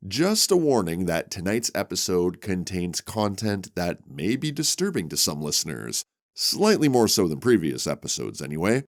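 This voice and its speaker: Deep gravelly man narrating slowly, dramatically. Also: dry recording, clean studio sound. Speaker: show narrator and tabletop player. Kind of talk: scripted horror narration and game talk.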